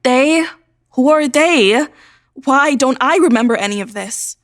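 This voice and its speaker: A woman's voice: high voice, lively intonation, unhurried. A woman playing a confused, defensive teenage schoolgirl in an audio drama. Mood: bewildered. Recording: music under the voice.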